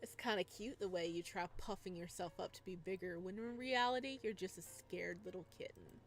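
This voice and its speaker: A woman's voice: lowish voice, brisk, lightly acted. A woman voicing a mocking, condescending, sadistic male stalker in a visual novel.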